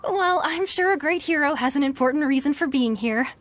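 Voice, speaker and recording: A woman's voice: high voice, expressive, fairly quick, a little hiss or hum. An actress voicing a polite female tournament official in a video game with a courteous, businesslike manner.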